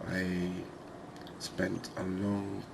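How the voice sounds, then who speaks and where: A man giving calm, unhurried, soft-spoken ASMR gameplay commentary, musing aloud as he plays. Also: sits low, creaky, background noise. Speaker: gaming video host.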